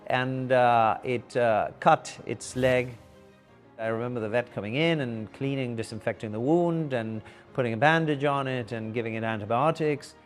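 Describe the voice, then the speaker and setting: A middle-aged man from Argentina speaking as a veterinary clinician in a measured, deliberate interview style. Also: medium pitch. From Buenos Aires, Argentina. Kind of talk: explanatory interview for a TV feature.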